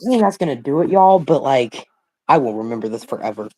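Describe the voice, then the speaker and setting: Young man, hoarse cracking voice, excitable streamer, slangy chat, phone mic. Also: medium pitch, poor audio. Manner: excitable, fast, slangy, exclaims often. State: sore throat, voice cracking. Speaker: watch party streamer.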